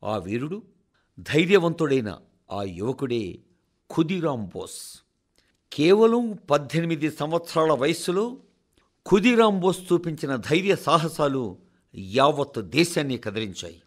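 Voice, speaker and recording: A man reading a scripted, formal address for radio in a solemn, oratorical manner, with measured phrases and dramatic pauses. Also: on the high side, expressive. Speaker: radio reader of a translated national address.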